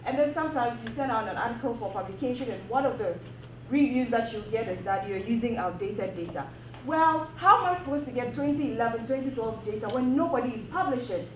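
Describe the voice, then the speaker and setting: A woman's voice: a little high, animated, brisk, a little hiss or hum. A woman from Ghana, an academic giving earnest, impassioned panel remarks and repeating phrases for emphasis. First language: not English. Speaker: panel speaker, PhD academic. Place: university event room. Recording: echoey room, distant mic, dull sound.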